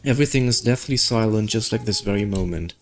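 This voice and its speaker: A man's voice: on the low side, fast talker, close to an everyday voice. A man voicing a wistful, resigned female keeper of memories from a video game, reading the lines aloud. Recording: background music under the voice.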